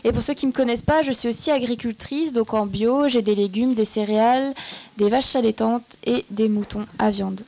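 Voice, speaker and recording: Mellow-voiced woman speaking on a panel. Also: on the high side, moderate pace, poor audio. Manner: hesitant, many fillers. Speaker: panel guest, member of parliament and farmer.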